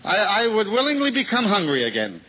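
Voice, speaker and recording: An actor playing a poor, cheerful wandering juggler in a 1950s radio drama, earnest and prone to stammering when flustered. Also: male voice, high-pitched, huge pitch swings, fairly quick. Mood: apologetic. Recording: dull old radio recording with hiss.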